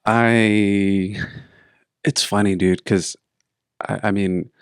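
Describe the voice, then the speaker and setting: A man hosting a casual interview, relaxed and curious, tossing out quick follow-up questions. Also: lowish voice, smooth, no echo, clean studio sound. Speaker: podcast host.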